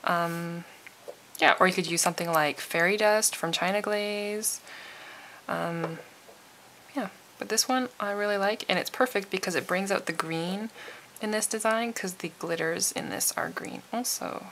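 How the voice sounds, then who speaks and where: A woman's voice: mid-range voice, expressive, unhurried, fairly bright tone, a little hiss or hum. A woman giving a casual, chatty tutorial voice-over, sounding a little tired and froggy. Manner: informal, chatty. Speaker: nail art tutorial host.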